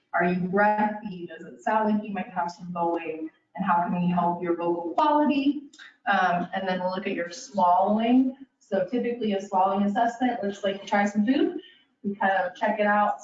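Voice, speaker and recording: A woman's voice: mid-range voice, a little mellow. A woman speech-language pathologist giving a casual educational presentation, talking straight to her listeners with lots of "kind of" and "um". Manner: casual and chatty, addresses listeners directly, many "kind of" and "um". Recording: roomy, distant, dull sound.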